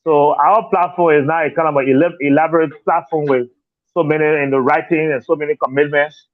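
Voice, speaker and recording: A man's voice: fairly high pitch, steady tone, fairly quick, no room sound. A man with a Liberian accent answering interview questions as a political candidate, earnest and repetitive, often saying "you know". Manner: earnest, repeats words, leans on "you know". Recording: tinny dry phone line.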